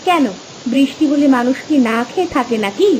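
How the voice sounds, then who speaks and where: A woman voicing a bossy, scolding village wife in a cartoon, nagging and sharp-tongued. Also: high voice, expressive, brisk, slight background noise, rough recording. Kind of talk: Bengali cartoon dub dialogue.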